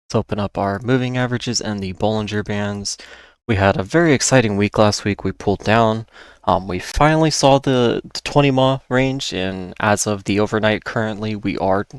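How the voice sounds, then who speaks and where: A man with a lowish voice full of fry delivers an informal, explanatory market rundown. He sounds like he is losing his voice, and he restates key points as he goes. The sound is dry, with very little room in it. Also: moderate pace, dry recording. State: losing his voice, with occasional coughing. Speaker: host of a stock market analysis channel.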